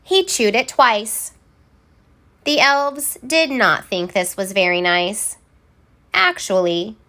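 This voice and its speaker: Slow, animated woman reading a storybook. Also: fairly high pitch. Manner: theatrical, deliberate, emphatic word by word. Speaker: storybook reader. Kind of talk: expressive children's read-aloud narration.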